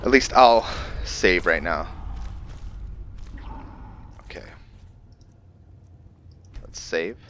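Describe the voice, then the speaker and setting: A man with a very deep voice talks through his roleplay game in a casual way. He takes his time, pausing and restarting as he thinks out loud. There is light noise in the recording. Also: lively intonation. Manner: halting, thinking aloud. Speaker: gamer hosting a roleplay let's play. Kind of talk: casual gameplay commentary.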